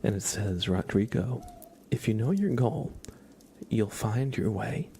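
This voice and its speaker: Creaky, whispery man doing soft ASMR. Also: on the low side, lively intonation, measured pace, slight background noise. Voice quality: soft, breathy half-whisper. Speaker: livestream host, ASMR streamer.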